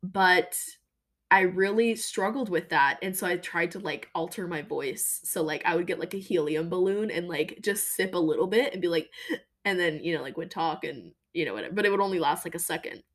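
A young woman hosting a podcast, talking casually and emphatically about her own life, with a voice she calls deeper than most women's. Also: brisk. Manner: chatty, emphatic, lots of fillers. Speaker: podcast host. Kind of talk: casual personal monologue.

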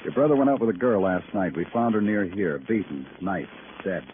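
An actor playing a brooding hard-boiled detective narrator, deliberate and terse, in 1950s radio noir. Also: male voice, sits low, very animated, noisy background. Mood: terse, probing. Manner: poetic, deliberate, terse in questioning. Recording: thin tinny old radio recording.

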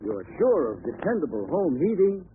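A narrow old broadcast with faint noise carries a man giving a sponsor's message. He is formal and authoritative, explaining with animated inflection in 1940s commercial style. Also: a little high, normal speed. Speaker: radio advertising spokesman. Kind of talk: scripted 1940s radio commercial read.

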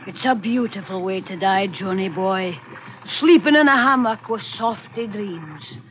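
High-pitched, scheming mutinous sailor. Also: male voice, animated, a little slow, a bit bright, highs cut off. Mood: sly coaxing. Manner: coaxing, taunting, commanding. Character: murderous, goading mutinous sailor, sly and scornful. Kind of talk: old-time radio drama acting. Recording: noisy vintage radio recording.

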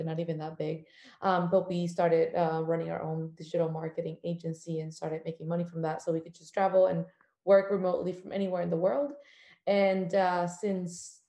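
A woman from Cuba speaks as a podcast guest, telling her story with eager energy and frequent "um"s. Her voice sits fairly low. The room around her sounds echoey and open. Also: creaky. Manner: warm, enthusiastic storytelling with many "um" fillers. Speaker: podcast guest, travel journalist. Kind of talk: casual interview talk.

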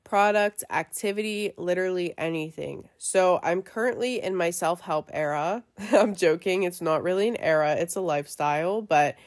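A young woman hosts her podcast with a chatty, easygoing flow, full of little fillers. Her voice sits a little low, and a cold leaves it stuffy and nasal. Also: moderate pace. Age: young adult. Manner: chatty, lots of fillers and likes. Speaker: podcast host. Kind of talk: casual chat.

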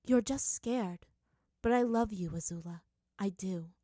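A woman voicing a sad, gentle mother who appears as a vision in a story, cooing mournfully. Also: mid-range voice, measured pace, no room sound, studio quality, lightly acted. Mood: tender, sorrowful.

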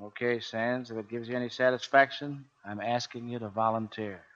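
An actor playing a gruff, commanding navy chief petty officer in a 1940s radio drama, blunt and terse. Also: male voice, mid-range voice, fairly even intonation, fairly quick, a bit bright, natural voice. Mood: stubborn. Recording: dull 1940s radio broadcast recording.

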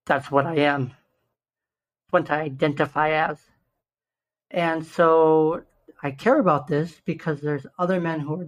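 A young man with a slightly raspy, hoarse voice, earnestly hosting a solo podcast and slowing down to stress key numbers. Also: on the high side, low-quality recording. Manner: earnest, stresses numbers slowly, self-interrupting restarts. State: hoarse from shouting the day before. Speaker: podcast host.

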